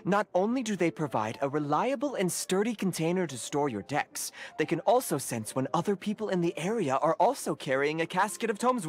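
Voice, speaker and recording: Casting: earnest, wordy tournament official for a game, very animated intonation, genial and formal. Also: male voice, high voice. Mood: explaining, awkward. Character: genial, enthusiastic, slightly flustered card-game official. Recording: background music.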